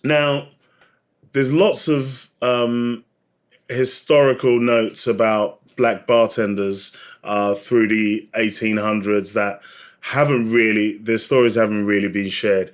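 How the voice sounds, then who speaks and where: A man's voice: on the low side, little pitch movement, slow. A man speaking as an interview guest, explaining and telling history in a conversational, searching way. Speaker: podcast guest, bartender and author.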